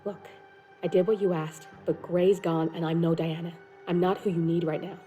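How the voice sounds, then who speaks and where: A woman's voice: fairly low pitch, flat delivery, moderate pace, warm tone, soft background music, close to an everyday voice. A woman playing a tough, streetwise young hacker in a video game, blunt, wry and informal. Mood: wary, exasperated. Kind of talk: cinematic video game acting.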